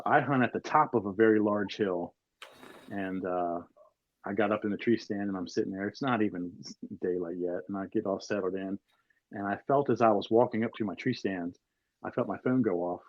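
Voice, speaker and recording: A man's voice: fairly low pitch, no echo, dull audio. A man in his forties from Maryland, a podcast guest telling stories in a rambling way with lots of fillers. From Maryland, USA. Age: 40s. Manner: rambling storyteller, many fillers. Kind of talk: casual chat.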